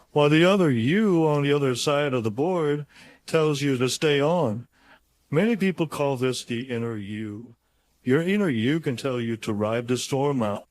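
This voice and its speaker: A man reading his own motivational audiobook chapter in an informal, folksy and emphatic conversational way. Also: mid-range voice.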